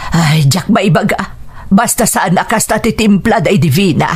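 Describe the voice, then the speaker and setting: A woman playing a critical older mother-in-law who is hard to please, in a Philippine radio drama, husky-voiced. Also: medium pitch, normal speed, darkish tone. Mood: critical, dissatisfied.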